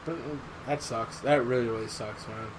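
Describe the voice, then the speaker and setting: A man's voice: mid-range voice, steady tone, normal speed, background noise. A man chatting casually as a podcast co-host, repeating phrases for emphasis. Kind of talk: casual chat.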